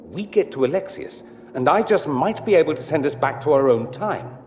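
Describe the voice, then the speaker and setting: Man playing a suave, theatrical mage, very lively. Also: fairly high pitch, moderate pace, close to an everyday voice. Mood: wry, thoughtful. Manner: theatrical, flippant, erudite. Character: suave, witty, curious male mage. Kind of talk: video game voice acting. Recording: long hall reverb, faint background noise.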